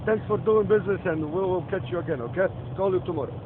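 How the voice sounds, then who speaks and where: A man's voice: high voice, quick pace, lightly acted. A man playing a chatty, confused, overly friendly trucker with an Indian accent, rambling casually on a phone call. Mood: eager, friendly. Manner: rambling, effusive, repeatedly calls listener friend and man. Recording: tinny phone line, loud vehicle noise. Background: vehicle engine noise.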